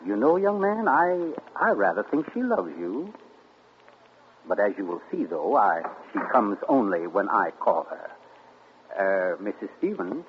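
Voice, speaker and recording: An actor playing a suave, gloating male amateur sleuth in 1940s radio acting, theatrical and deliberate. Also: lowish voice, sing-song, highs cut off. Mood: smug. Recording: scratchy 1940s radio broadcast.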